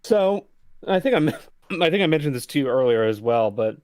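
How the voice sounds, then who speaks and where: A man's voice: fairly high pitch, sing-song, normal speed, a little mellow, no echo, studio quality. A man co-hosting a casual book club podcast, easygoing, laughing often. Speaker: podcast co-host.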